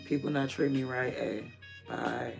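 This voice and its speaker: A woman in her thirties with a naturally raspy voice, chatting candidly and rambling on a casual livestream. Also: a bass voice, fairly even intonation, a little slow, music in the background. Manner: candid, rambling, drifts between loud and murmured asides.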